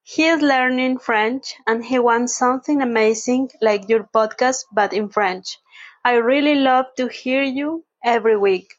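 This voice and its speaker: A woman's voice: a little high, unhurried. Mexican woman leaving a muffled voice message. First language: Spanish. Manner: careful learner English with small grammar slips. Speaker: listener sending voice message.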